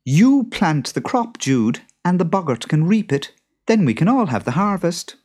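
A man voicing a clever farmer's wife in a storybook, speaking brisk and persuasive. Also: high voice, big pitch swings, a little mellow, husky, pitched above the performer's own voice, heavily acted.

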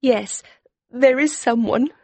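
A woman's voice: fairly high pitch, normal speed, no room sound, lightly acted. A woman voicing a sad, timid young lady in a dramatized audiobook. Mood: anxious, tearful. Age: young adult.

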